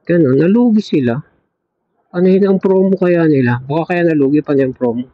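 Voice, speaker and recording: A man's voice: fairly high pitch, a little fast, no echo, narrow, tinny audio. A man with a Filipino accent in casual banter, talking into a helmet intercom headset as a vlogger.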